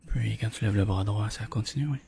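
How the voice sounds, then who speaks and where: A man whispers gently and reassuringly, playing a doctor in an ASMR roleplay, with a breathy voice and softly repeated words. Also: fairly quick, noisy background. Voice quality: breathy whisper mixed with soft, creaky low voice.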